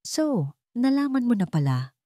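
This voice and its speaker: A woman's voice: on the high side, big pitch swings, a little slow, dark tone, no room sound, studio quality, voice pushed up. A woman storyteller voicing a cold, mocking, two-faced wife in an audio drama, sounding insincere and sneering. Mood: cold mockery.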